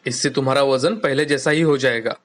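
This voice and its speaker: An actor voicing a caring, agreeable young husband in an animated moral story, polite and expressive. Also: mid-range voice, a little fast, lightly acted. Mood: earnest.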